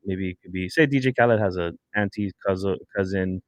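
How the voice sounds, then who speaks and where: A man with a deep voice talks in a dry, close-miked studio. He speaks casually, as on a podcast, and argues deliberately, posing questions as he goes. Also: no echo. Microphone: close studio broadcast mic.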